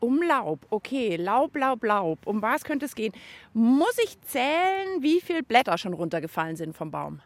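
A woman's voice: on the high side, very animated, normal speed. A woman co-hosting a children's radio show outdoors in a park, curious and playful. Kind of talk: lively kids' show presenting.

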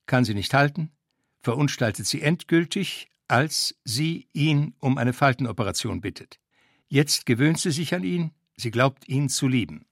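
Male narrator, medium pitch, husky, scripted literary reading. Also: no echo, studio quality.

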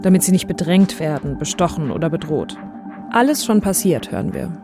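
A woman's voice: low voice, big pitch swings, a little fast. Woman narrating a podcast over music.

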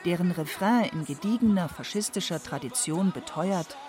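A woman's voice: on the low side, expressive, moderate pace. A woman reading scripted narration as a documentary narrator.

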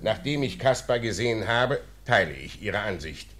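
An actor playing an authoritative, decisive and humane high official in a radio play, formal and commanding in manner. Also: male voice, expressive, normal speed, fairly bright tone. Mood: grave, formal. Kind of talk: German radio play acting.

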